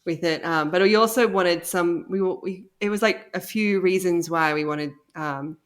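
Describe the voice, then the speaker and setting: Woman guest just chatting, lowish voice, kinda halting, thinking out loud. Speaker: guest, audio drama co-creator. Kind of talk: casual chat.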